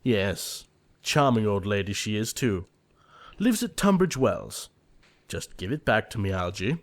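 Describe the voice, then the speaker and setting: A man acting an earnest, easily exasperated young English gentleman in an audio play of a period comedy, formal and emphatic. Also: on the low side, sing-song, measured pace. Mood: defensive, impatient.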